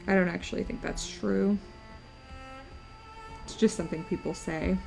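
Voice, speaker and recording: A woman's voice: on the low side, fairly even intonation, normal speed, creaky, a little mellow, rough recording. A young woman streaming while she draws, chatting and teasing her viewers, tired, with her voice breaking. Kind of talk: casual chat while drawing on stream. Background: faint music.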